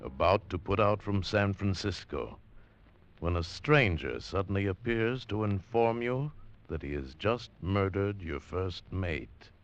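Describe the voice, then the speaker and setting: A man's voice: sits low, expressive, measured pace. A man giving dramatic scripted narration as a 1940s radio drama narrator, solemn and dramatic. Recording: dull lo-fi 1940s radio broadcast with music.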